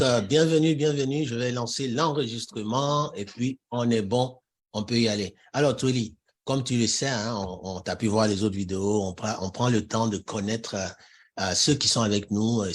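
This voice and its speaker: A man's voice: on the low side, moderate pace, breathy edge. A man hosting an online ministry interview who opens with a prayer and often repeats himself with hein and n'est-ce pas. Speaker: host.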